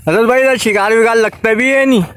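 A man's voice: very high-pitched, a little fast. A young man filming a seaside outing who chats, asks lots of questions and talks to the viewers. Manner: chatty, asks many questions, addresses viewers. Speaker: vlogger's companion filming. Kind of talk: casual outdoor vlog commentary. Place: rocky seashore outdoors. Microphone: phone camera. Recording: loud wind and waves on a phone mic. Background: crashing waves and wind.

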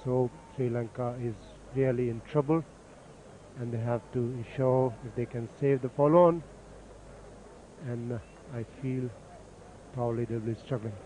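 A man calmly explaining each delivery as the expert summariser on a cricket broadcast. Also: medium pitch, moderate pace, long pauses, a little hiss or hum, low-quality recording. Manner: calm, explains deliveries technically. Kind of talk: analytical commentary.

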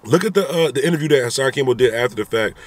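A man's voice: mid-range voice, lively intonation, rapid-fire. A man in his thirties talking animatedly into a phone camera inside a car, giving his opinions in a vlog with repeated rhetorical asides. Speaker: vlogger. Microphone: phone camera at arm's length.

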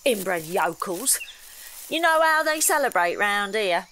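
A woman's voice: medium pitch, big pitch swings, takes it slowly, bright, forceful tone, exaggerated voice, rough recording. A woman playing a brash, mocking railway surveyor in an audio drama.

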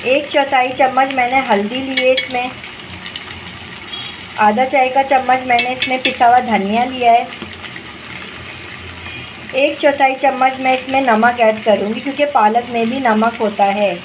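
A woman guides her viewers through a home-cooked dish in a homely, instructive way. Her voice sits fairly high. She talks over the stove, recorded on a phone above the pot, and the sizzle and stirring of the pan come through clearly behind her. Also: fairly even intonation, a little slow, pauses often. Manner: homely, step-by-step instructive, addresses viewers directly. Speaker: cooking channel host. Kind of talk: home cooking tutorial narration. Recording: noisy with frying sizzle behind.

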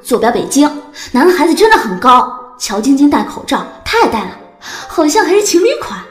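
A woman's voice: high-pitched, sing-song, brisk, reverberant, soft background music. An actress playing a giddy young female fan in an audio drama, with a gushing, giggly manner.

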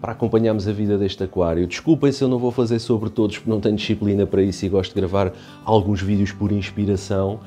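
Low, mellow Portuguese man chatting casually. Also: fairly even intonation, fast talker, faint music behind. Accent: European Portuguese. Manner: chatty, rambling, many restarts and fillers. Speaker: aquarium hobby youtuber. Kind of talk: casual walkthrough narration.

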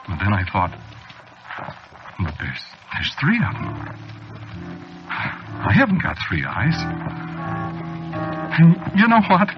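An actor playing a haunted man sliding into madness, telling his story in a halting, confessional way in a 1940s radio drama. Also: fairly low pitch, huge pitch swings, exaggerated voice. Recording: dull old radio broadcast with music.

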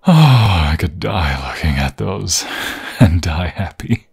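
A man playing a tender young vampire fiancé in an intimate ASMR roleplay, with a breathy, husky, often whispering voice and halting, sentimental, poetic phrasing. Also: low-pitched, big pitch swings, a little mellow, heavily acted. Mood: adoring, emotional.

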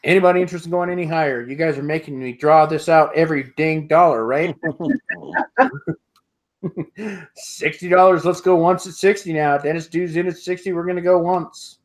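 A middle-aged man hosting an online auction, calling bids in a steady repetitive rhythm and laughing often, recorded through an earbud headset on a video call at home. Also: on the high side, normal speed. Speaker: auctioneer host. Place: home room with sports memorabilia.

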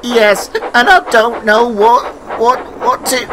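A man's voice: very high-pitched, little pitch movement, slow, background noise, pitched above the performer's own voice. A man voicing a vain, easily panicked and indignant steam engine in a children's story, with music behind. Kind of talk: children's storybook character acting.

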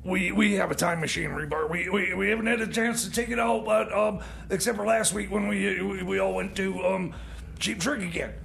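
A man's voice: sits high, steady tone, fairly quick, vocal fry, a bit bright, a little hiss or hum, exaggerated voice. A man playing a nagging, hesitant time-machine mechanic sidekick, stammering and repeating words in comedy radio banter.

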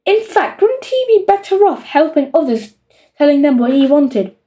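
A woman reading a children's audiobook as an expressive storyteller. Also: high voice, moderate pace. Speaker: audiobook reader.

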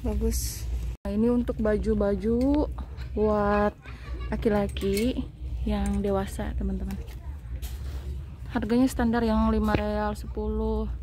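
A woman's voice: mid-range voice, steady tone, takes it slowly, pauses often, mellow, slight background noise. A woman vlogger chatting casually to her viewers while shopping in a large mall shoe store, filmed on a handheld phone. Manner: chatty, addresses viewers directly. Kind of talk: casual shopping vlog chat. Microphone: handheld phone camera. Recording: busy mall ambience behind.

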